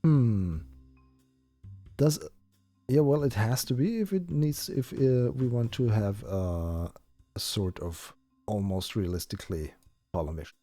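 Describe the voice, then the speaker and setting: German-native man, game streamer, unhurried thinking-aloud delivery, lively pitch. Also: dry recording, soft background music. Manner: thinks aloud with many fillers and restarts. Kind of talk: live-stream gameplay chat.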